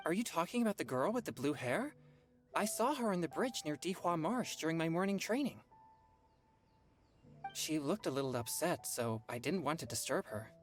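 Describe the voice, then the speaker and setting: An earnest, courteous youth from a game, voiced by an actor. The pitch is middling but moves in a lively way. Soft music runs behind. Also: moderate pace, natural voice. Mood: concerned. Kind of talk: video game voice acting.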